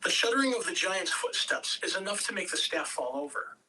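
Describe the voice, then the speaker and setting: A man's voice: high-pitched, expressive, fairly quick, bright, forceful tone. A man reading out stage directions as scripted narration at an amateur table read. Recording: distant room mic, faint noise.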